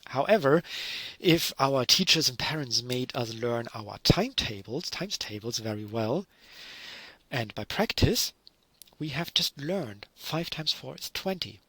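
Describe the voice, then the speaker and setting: A man's voice: mid-range voice, lively intonation, measured pace, pushed and bright, slightly rough, no echo, poor audio. A middle-aged man from Germany with a German accent, giving a recorded university lecture and explaining with many fillers and self-corrections.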